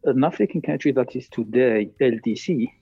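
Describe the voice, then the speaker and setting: A man with an Algerian accent answers as an expert guest, explaining deliberately and with emphasis. His voice is husky and of average pitch. It comes through thin and narrow, like a phone call. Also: dark tone, no room sound. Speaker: climate policy consultant, interview guest. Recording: thin phone-like call audio, faint music.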